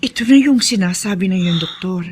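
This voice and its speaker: A woman's voice: medium pitch, fairly quick, a little mellow, slightly rough, close to an everyday voice. A woman playing a concerned, reassuring friend in a Filipino radio drama, with a Filipino accent.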